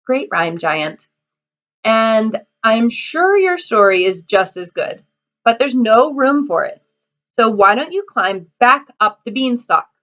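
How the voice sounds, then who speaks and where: A woman's voice: on the high side, sing-song, slow, fairly bright tone, muffled recording, exaggerated voice. A woman voicing a cocky, boastful young male trickster who talks in a cheeky, sarcastic and bossy way.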